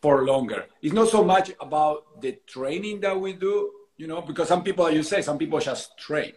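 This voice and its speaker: A man's voice: a little high, moderate pace, a little mellow, reverberant. A man, a movement coach explaining his work earnestly on a call, tagging sentences with "you know" and "right?". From Argentina. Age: 40s. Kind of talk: casual conversation.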